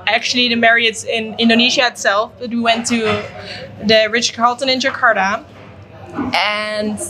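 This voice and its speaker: Upbeat young Dutch-raised woman, crowd behind. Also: fairly high pitch. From Netherlands. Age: young adult. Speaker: hotel management student. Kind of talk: casual testimonial. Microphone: handheld mic.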